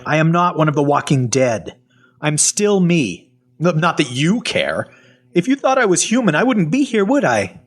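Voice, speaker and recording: A man playing a sardonic, suspicious captive in a zombie audio drama, talking in a colloquial, wry way with a husky voice. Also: fairly high pitch, very animated, normal speed. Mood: agitated, defensive. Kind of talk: first-person audio fiction narration and acting.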